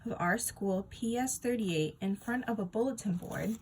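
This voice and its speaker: A woman narrating a children's book aloud in an expressive storytelling style. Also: mid-range voice, normal speed, a little hiss or hum, low-quality recording. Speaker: storybook reader.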